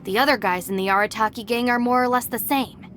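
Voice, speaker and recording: A woman's voice: a little high, fast, lightly acted. An actress playing a weary, sensible young woman, a gang deputy in a video game, matter-of-fact, with music behind. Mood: exasperated, fond. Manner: matter-of-fact, long-suffering. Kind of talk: game voice acting.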